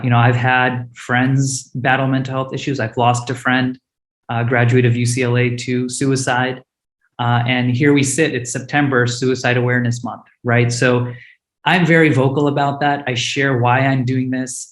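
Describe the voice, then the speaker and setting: A man's voice: medium pitch, little pitch movement, normal speed, reverberant. A man, a startup founder with Hindi as his first language, answering panel questions earnestly and openly, with frequent fillers and restarts.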